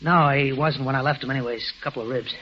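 An actor playing a rough, defensive driver in a 1940s radio drama who talks in blunt, slangy speech. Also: male voice, mid-range voice, fairly even intonation, quick pace, natural voice. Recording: dull old radio recording.

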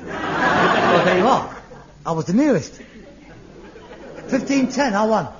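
A theatrical frustrated son. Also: male voice, sits high, expressive, slow pace, over a noisy crowd, heavy background noise. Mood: defensive bickering. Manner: theatrical, swinging from wistful muttering to manic ranting. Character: frustrated middle-aged son, a rag-and-bone man with grand dreams. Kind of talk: 1970s TV sitcom acting. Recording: dull old TV soundtrack.

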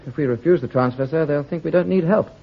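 An actor playing an efficient, deferential army captain who says sir a lot. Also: male voice, fairly high pitch, fast talker, gravelly. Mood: dutiful. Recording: dull lo-fi radio recording.

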